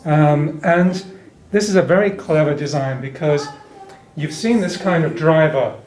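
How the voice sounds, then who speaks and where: Man, slightly high voice, explanatory exhibitor, informal technical demo, echoey room. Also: a little slow, a little mellow. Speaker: exhibitor presenting hi-fi equipment. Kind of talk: informal product demonstration. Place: indoor hi-fi show demonstration room. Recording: roomy show demo room with music playing. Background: music playing.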